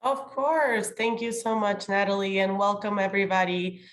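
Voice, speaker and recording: A woman's voice: mid-range voice, fairly even intonation, moderate pace. A woman chairing an online committee meeting in a formal, welcoming role, slightly nasal as she gets over a cold. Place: remote video call.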